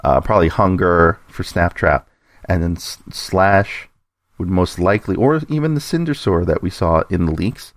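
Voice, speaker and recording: Low-voiced man giving hobby commentary. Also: animated, normal speed, no echo. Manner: speculative, thinking aloud. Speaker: podcast segment host. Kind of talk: informal toy-news commentary.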